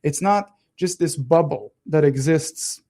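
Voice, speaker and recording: A man in his thirties with a soft Israeli-Italian accent, a native Hebrew speaker, talking casually and animatedly as a radio co-host. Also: fairly high pitch. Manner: animated, talks fast with many restarts and laughs. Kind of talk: casual political chat.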